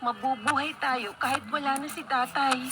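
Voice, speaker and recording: Radio drama role: actress as sincere young maid, high-pitched, polite, devoted; very noisy recording. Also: female voice, normal speed, close to an everyday voice. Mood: hopeful, determined. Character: earnest, respectful young housemaid, devoted daughter. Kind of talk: Filipino radio drama acting.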